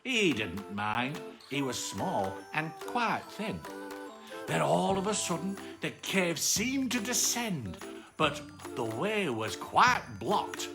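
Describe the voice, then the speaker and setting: A woman reading a children's bedtime story aloud with dramatic, drawn-out words. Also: deep voice, big pitch swings, music in the background.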